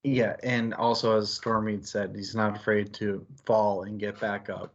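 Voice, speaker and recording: A man's voice: on the low side, level tone, measured pace. Male book club host, casual and analytical, talks around his points; slightly dull stream audio.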